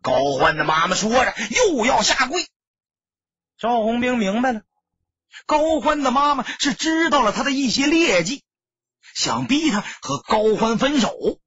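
A man's voice: very high-pitched, lively intonation, a little slow, a bit bright, breathy edge, no room sound, dull audio. A man narrating an audiobook as dramatic scripted storytelling.

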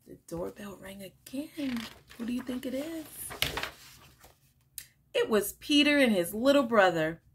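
A woman's voice: a little high, animated, unhurried, pauses often, heavy background noise. A woman in her forties reading a picture book aloud expressively to young listeners. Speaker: children's storytime reader. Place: small room at home, indoors.

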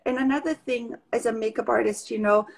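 A woman's voice: on the high side, steady tone, brisk, vocal fry. Woman chatting on a video call. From Austria. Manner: chatty, warm, with many fillers and restarts. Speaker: guest, makeup artist. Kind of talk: casual video call interview. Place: living room at home.

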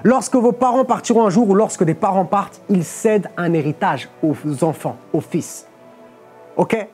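A man's voice: high-pitched, normal speed, darkish tone, soft background music. A young man from Portugal preaching a sermon from a studio lectern, explaining step by step and checking in with his listeners often. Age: young adult. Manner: explanatory, peppers phrases with "ok?" and "amen". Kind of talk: sermon on a live stream. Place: studio stage with a clear lectern.

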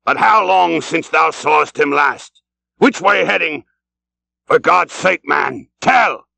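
Man acting an obsessed old whaling captain, pitched high. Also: normal speed, creaky, sharp tone, no echo, heavily acted. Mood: frantic, roaring. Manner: urgent, archaic nautical commands and questions.

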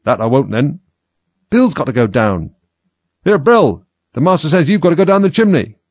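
A man voicing squabbling rustic animal workmen who bark brisk instructions in a storybook. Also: mid-range voice, big pitch swings, darkish tone, no room sound, clean studio sound. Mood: bustling, bossy. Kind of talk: storybook character voice.

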